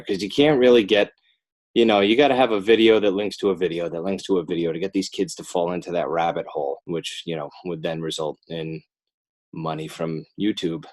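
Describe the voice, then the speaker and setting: A middle-aged American man chatting casually as a podcast guest, rambling in a wry, self-deprecating way. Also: low-pitched. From northern New Jersey, United States. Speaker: podcast guest, animator and children's author. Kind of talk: casual joking interview chat.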